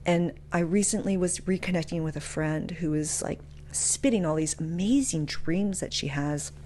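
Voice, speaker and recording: A woman with a fairly low voice delivers a motivational talk in a casual, frank way. She tells personal stories with emphasis and slangy asides. A faint noise and hum sit behind her voice. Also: normal speed, mellow. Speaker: motivational speaker and podcast host.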